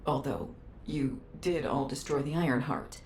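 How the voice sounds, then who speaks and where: An actress playing a cool, dignified female councillor in a video game, speaking in a composed, formal, deliberate way. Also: extremely low pitch, lively intonation, darkish tone, a little hiss or hum. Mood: cautioning. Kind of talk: scripted video game dialogue.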